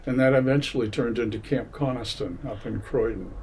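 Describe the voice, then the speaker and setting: A man in his seventies from Massachusetts, giving an oral history interview in a library, unhurried and full of hesitations. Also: mid-range voice, darkish tone, a little hiss or hum, poor audio. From Massachusetts, USA. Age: 70s. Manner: unhurried, many hesitations and fillers. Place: public library.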